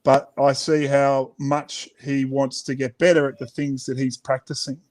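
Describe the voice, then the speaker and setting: A man's voice: medium pitch, little pitch movement, unhurried, a little mellow. A middle-aged man with an Australian accent talking casually and reflectively as an author being interviewed. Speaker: children's author, interview guest.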